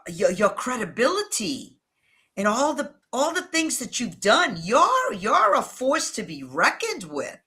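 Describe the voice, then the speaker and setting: Bright-voiced, unhurried New England woman. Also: mid-range voice. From New England, United States. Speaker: interview guest, relationship coach. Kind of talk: conversational advice and storytelling.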